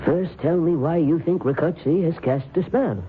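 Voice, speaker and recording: An actor playing a grave, scholarly professor of the occult, probing and measured, in an old-time radio drama. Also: male voice, fairly high pitch, lightly acted. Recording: tinny old radio broadcast, faint noise.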